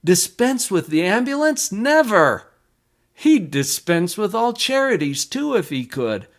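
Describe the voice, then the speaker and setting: A man's voice: sits high, sing-song, unhurried, voice pushed up, exaggerated voice. Scoffing, indignant townsman, acted by a man. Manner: scoffing, emphatic. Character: indignant, dismissive townsfolk defending their foolish charity. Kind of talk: dramatic poetry recitation.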